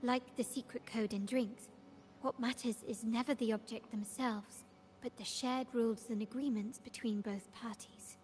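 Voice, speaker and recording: A woman's voice: fairly high pitch, steady tone, darkish tone, slight background noise, natural voice. An actress playing a composed, formal, analytical woman investigator in a video game, speaking politely and precisely. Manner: measured, polite, articulate. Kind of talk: scripted video game dialogue.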